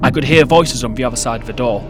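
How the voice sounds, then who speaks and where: A man's voice: medium pitch, quick pace, music in the background, lightly acted. A man playing a wry, self-conscious teenager in an audio drama. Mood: defensive, anxious. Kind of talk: audio drama dialogue and monologue.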